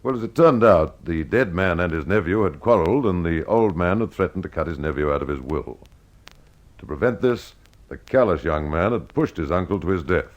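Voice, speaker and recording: Deep-voiced, very animated male mystery narrator. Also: brisk, muffled recording. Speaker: host and storyteller. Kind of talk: scripted detective mystery narration.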